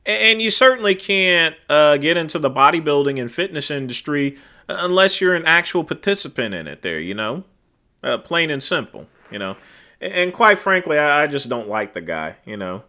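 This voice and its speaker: A man hosts a casual livestream into a desk microphone, chatting with viewers and answering their comments. His voice is naturally loud and cuts through, with a bright, forceful tone. He rambles through fillers and lets the ends of his phrases drop to a mutter. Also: on the high side, big pitch swings, moderate pace. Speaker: livestream host.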